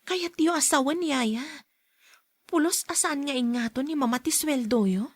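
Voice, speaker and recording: A kind young rich daughter in a radio drama, acted by a woman. Her voice is high and closely recorded with a dry sound, and she speaks earnestly, pleading. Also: unhurried, no echo. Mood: concerned. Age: young adult. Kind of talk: Ilocano radio drama acting.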